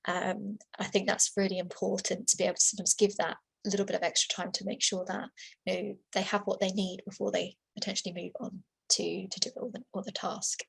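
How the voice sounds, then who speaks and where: A woman's voice: medium pitch, level tone, brisk, creaky, slightly rough, low-quality recording. A woman giving a webinar talk as a workplace assessor, informal and chatty, with lots of fillers and restarts, over a computer microphone. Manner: informal, repeats herself, many fillers and restarts.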